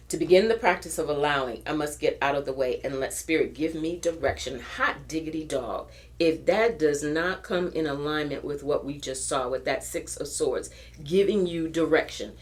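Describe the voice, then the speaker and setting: A low-pitched woman reads tarot cards in an earnest, encouraging way. Her voice carries a slight early-morning rasp, and she often restarts her phrases as she reaches for spiritual wording. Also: darkish tone. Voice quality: raspy. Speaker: tarot reader. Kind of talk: pick-a-card tarot reading.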